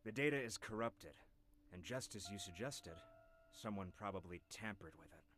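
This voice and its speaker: An actor playing a measured, cooperative university researcher in a video game, explaining things formally. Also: male voice, on the low side, expressive, a bit bright. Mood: measured, explanatory. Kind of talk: scripted game voice acting.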